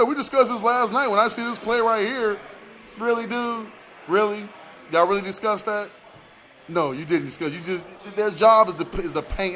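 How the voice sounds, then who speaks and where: A man's voice: high-pitched, fairly bright tone, a little hiss or hum. An American man co-hosting a sports radio show, talking in casual slang and repeating words and phrases for emphasis.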